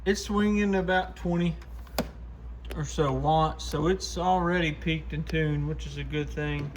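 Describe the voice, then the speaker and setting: Higher-pitched man, casual, noisy recording. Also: steady tone, measured pace. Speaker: radio repair shop host and technician. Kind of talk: casual hands-on demo narration. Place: repair shop workbench. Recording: clearly noisy shop recording.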